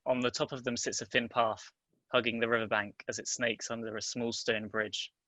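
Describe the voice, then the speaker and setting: Male narrator, bright forceful tone, restrained pitch movement, deliberate, terse, scripted drama reading, dry sound. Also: level tone, no room sound. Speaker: narrator reading stage directions.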